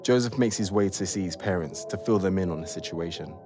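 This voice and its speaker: A man's voice: low voice, a little fast, over music. A man performing as the composed narrator of an audio drama, telling the story from a script.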